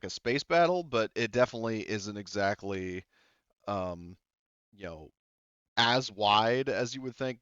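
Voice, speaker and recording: Low-voiced man rambling as game master. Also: fairly bright tone, clear, resonant tone, dry recording. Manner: rambling, many fillers and restarts. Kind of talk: casual tabletop game narration. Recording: dull lo-fi audio.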